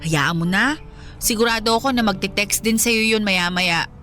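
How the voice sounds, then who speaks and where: A woman playing a good-natured young best friend in a radio drama, chatty, coaxing and gently scolding, with a Filipino accent. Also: mid-range voice, normal speed, faint music behind, natural voice. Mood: curious, soothing.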